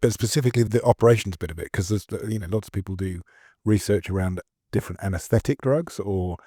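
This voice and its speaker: A man co-hosts a medical podcast, talking fast and eagerly as he asks questions. His voice is somewhat low and mellow. The sound is dry and close. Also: breathy edge, dry recording. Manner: quick, enthusiastic asking. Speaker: podcast co-host. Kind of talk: interview questions.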